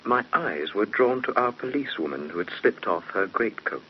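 A man's voice: fairly low pitch, expressive, normal speed, slight background noise, natural voice. An actor with an English accent playing a young police detective who narrates his case in a radio drama. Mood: reflective narrating. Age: young adult. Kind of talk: 1970s radio drama first-person narration. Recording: muffled, humming old radio recording.

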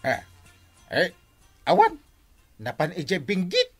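A man's voice: high-pitched, wildly swooping pitch, takes it slowly, breathy edge, faint music behind, heavily acted. A man playing a cantankerous, stingy village captain and husband in an Ilocano comedy radio drama, blustering and contrary. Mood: contrary, indignant.

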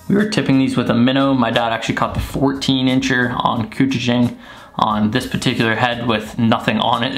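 A young man with a gravelly edge to his voice talks through his gear in an easy, explanatory way, filling gaps with "uh" and "like". He speaks at a mid pitch to a desk camera in a small bedroom, with faint music running underneath. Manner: relaxed, explanatory, lots of "uh" and "like". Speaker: fishing channel host. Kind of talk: casual tutorial vlog.

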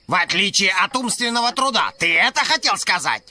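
A man's voice: sits high, big pitch swings, fairly quick, sharp tone, heavily acted. A man playing a snobbish, mocking middle-aged scholar in a dubbed cartoon, pompous and sneering. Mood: needling. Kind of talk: animated film dub. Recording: music behind the voice.

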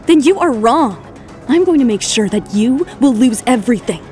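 Casting: actress as cool, cutting female model, high voice, audio drama with faint music. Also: animated, moderate pace, mellow, close to an everyday voice. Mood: vengeful. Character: cool, defiant woman model, composed and cutting.

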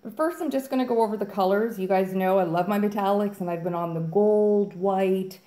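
A woman's voice: medium pitch, a little fast, warm tone, reverberant. A woman hosting a fluid art channel, chatting casually and upbeat to viewers while she mixes paint. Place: home art studio, indoors.

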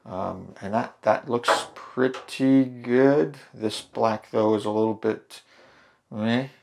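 A man hosting a hands-on darkroom printing tutorial, talking through each step in a relaxed way with fillers and restarts. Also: medium pitch, slow pace, creaky. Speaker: photography channel host. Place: home darkroom in a laundry room.

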